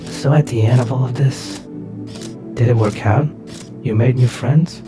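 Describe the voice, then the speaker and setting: A woman's voice: rumbling low, measured pace, over music. A woman playing a playful, teasing young princess who jokes with her companions. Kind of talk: casual roleplay dialogue.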